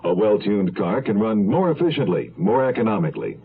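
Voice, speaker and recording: A man's voice: lowish voice, sing-song, fairly quick. A man reading a scripted 1970s radio advertisement as a commercial announcer. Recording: thin, phone-like old radio broadcast.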